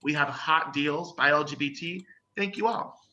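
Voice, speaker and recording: A man's voice: on the high side, moderate pace, highs cut off, noisy background. A man giving a short presentation on a video call as an association president. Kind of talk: short spoken business pitch.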